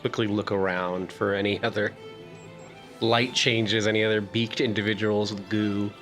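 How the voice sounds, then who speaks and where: A man playing a tabletop fantasy game, casually describing his moves in halting phrases as he thinks aloud. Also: lowish voice, little pitch movement, a bit bright, soft background music. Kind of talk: casual improvised play.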